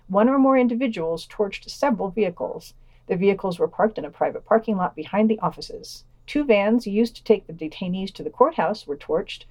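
A woman reads scripted news items on a podcast in a mellow, dark voice of medium pitch. Her delivery is dry and understated, and the sound is very dry with no room echo. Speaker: podcast co-host reading news. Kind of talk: scripted news reading with quotes and wry commentary.

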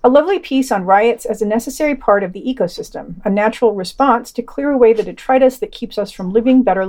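A woman's voice: medium pitch, darkish tone. A woman reading a scripted news roundup with quotes and commentary as a podcast host.

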